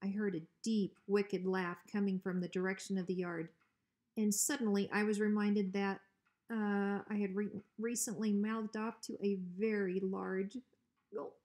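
A woman reads a children's story aloud at a middle pitch. Her delivery is expressive scripted narration. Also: measured pace. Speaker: school principal reading aloud.

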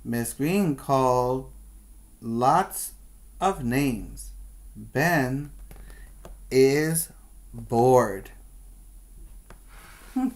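Middle-aged male teacher, children's read-aloud, very slow and deliberate, with questioning asides. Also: medium pitch, animated. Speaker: preschool teacher. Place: small plain room indoors.

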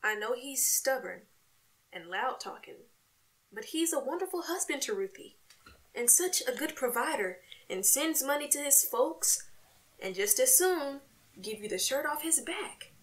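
A woman playing a patient, placating wife in an old-time radio comedy, soothing and gently pleading. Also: high voice, expressive, measured pace, low-quality recording. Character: patient, placating wife smoothing over quarrels.

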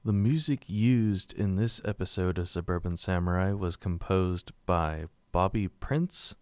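A man reading scripted radio drama narration in a measured, serious manner. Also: deep voice, a little mellow, no room sound.

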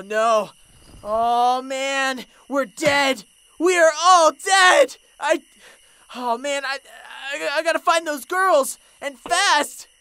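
A woman's voice: sits high, a bit bright, no echo, full character voice. A woman voicing a timid, easily panicked young female pony in a parody cartoon, stammering and saying "man" like a slacker. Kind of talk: parody cartoon dub acting.

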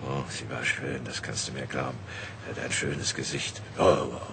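Radio play role: an old down-and-out dreamer, bottomless bass, wistful and rambling, set against strong ambient noise. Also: male voice, little pitch movement, normal speed, vocal fry. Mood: nostalgic. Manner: rambling, nostalgic, sighing with chuckles. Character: old down-and-out tattooist, wistfully reminiscing. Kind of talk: German radio play acting.

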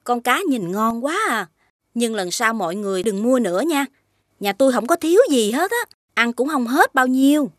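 An actress with a southern Vietnamese accent playing a kindly, modest, grateful village lady in an animated folktale, gentle and gracious. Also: on the high side, moderate pace, no echo, studio quality, close to an everyday voice.

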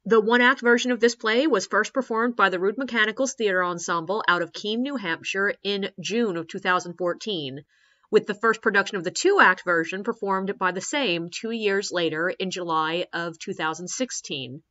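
Woman reading a scripted intro for an audio play, bright crisp-edged voice, slightly muffled recording. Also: mid-range voice, moderate pace.

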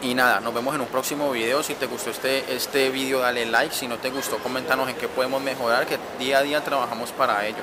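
A man's voice: medium pitch, steady tone, quick pace, few pauses. Young colombian guy vlogging outside, camera at arm's length, busy street noise all around. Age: young adult. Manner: chatty and enthusiastic, fillers. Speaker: travel vlogger. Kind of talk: casual travel vlog to camera. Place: outdoors at a stadium and a bus station. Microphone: phone or camera held at arm's length. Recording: outdoor city noise, traffic. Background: loud urban ambience and vehicles.

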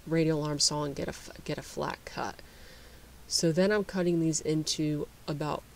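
A man with a slightly high, gravelly voice walks through his woodworking steps in an easygoing voice-over. His delivery stays flat, and he often stops to restart a phrase. A faint hiss sits under the recording. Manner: relaxed, explanatory, with frequent restarts and fillers. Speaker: woodworking channel host. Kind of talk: voice-over narration of a how-to.

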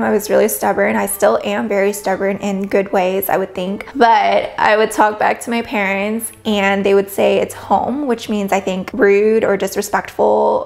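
A woman's voice: mid-range voice, gravelly. A young woman from the United States, telling personal stories to camera from a sofa in her living room, candid and reflective. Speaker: vlogger.

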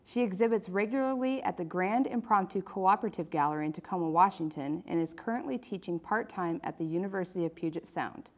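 A woman with a fairly low voice presents a magazine program as its host. She reads scripted announcements in the manner of a local arts and education report. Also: lively intonation, fast, few pauses.

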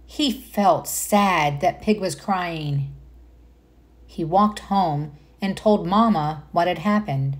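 A woman reading a children's picture book aloud as a storyteller, pausing to comment and put questions to young listeners. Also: on the low side.

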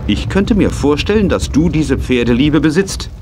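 An actor playing a kindly, hearty estate owner in a children's radio play, in standard German. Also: male voice, on the high side, big pitch swings, normal speed, noisy background. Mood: amused, encouraging.

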